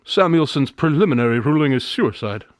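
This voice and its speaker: A male actor plays a shrewd private detective in a radio drama, smug and never ruffled. He teases in a measured, knowing way, with a mid-pitched voice and very varied intonation. The sound is dry. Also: lively intonation, dry recording, lightly acted. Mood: businesslike. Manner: wry, knowing, teasing, measured. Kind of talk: modern radio drama dialogue.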